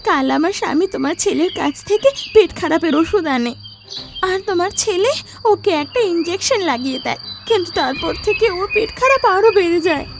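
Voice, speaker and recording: A woman voicing a furious, accusing village wife in a cartoon, scolding loudly. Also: extremely high pitch, animated, a little fast, over music. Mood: angry, accusing. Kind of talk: cartoon dialogue.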